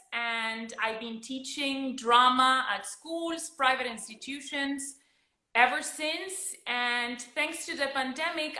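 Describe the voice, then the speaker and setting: Woman, Argentine accent, drama teacher, upbeat casual chat, high-pitched, roomy video call audio. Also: pushed and bright.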